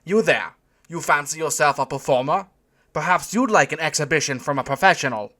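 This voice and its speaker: A man's voice: sits high, expressive, fast talker, sharp tone, voice pushed up. A man voicing an irritable, pompous German showman with a thick German accent, barking his words imperiously. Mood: pompous, commanding.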